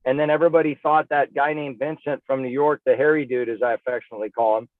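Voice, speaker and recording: A man's voice: medium pitch, steady tone, quick pace, no room sound. Man on a tinny, phone-like line. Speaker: interview guest, activist. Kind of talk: casual, opinionated interview talk. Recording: dry, tinny phone-like radio sound.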